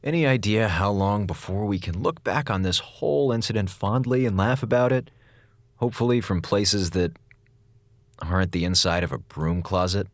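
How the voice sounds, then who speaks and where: A man's voice: on the low side, no echo, lightly acted. An actor playing a wisecracking, defiant male comms officer who talks in a sarcastic, theatrical way. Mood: weary. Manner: sarcastic, theatrical, quick with jokes. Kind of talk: audio drama acting.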